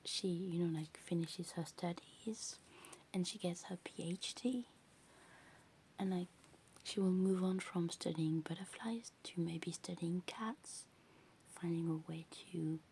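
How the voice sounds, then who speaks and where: Woman whispering slow asmr, english not her first language, mellow low-ish voice. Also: slight background noise. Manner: soft whispering, rambling with many fillers. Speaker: ASMR creator. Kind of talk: ASMR whispering show-and-tell.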